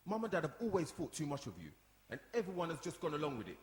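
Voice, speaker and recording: A man's voice: a little high, very animated, quick pace, a little mellow. A man playing a sullen, jealous young younger brother in a radio drama, snapping and confrontational. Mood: resentful. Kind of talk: radio soap acting before a live audience. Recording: echoey hall, long reverb.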